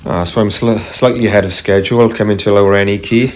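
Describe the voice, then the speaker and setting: A man narrating casually as he paddles a kayak on a river, unhurried, with frequent ums and uhs. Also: lowish voice, fairly even intonation, a little hiss or hum. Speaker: kayaker vlogger. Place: kayak on a tidal river outdoors. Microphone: camera mounted on the kayak.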